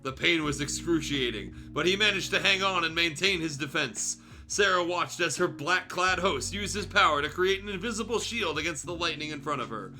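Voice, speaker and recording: A man with a very bright, forceful and fairly high voice reads fan fiction aloud for a stream. His delivery is theatrical and irreverent, swinging widely in pitch. Music plays faintly behind him. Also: expressive, moderate pace, hardly any pauses. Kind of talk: dramatic story reading with joking asides.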